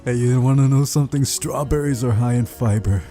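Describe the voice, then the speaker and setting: A man's voice: medium pitch, brisk, dark tone. A man hosting a visual novel playthrough, reading the story aloud with casual, playful asides over game music. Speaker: video game playthrough host.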